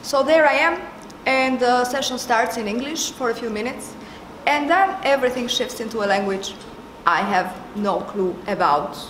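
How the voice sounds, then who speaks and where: A woman's voice: fairly high pitch, normal speed. A woman with an Albanian accent telling a personal anecdote with emphasis, as a member of a network. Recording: echoey room, faint background noise.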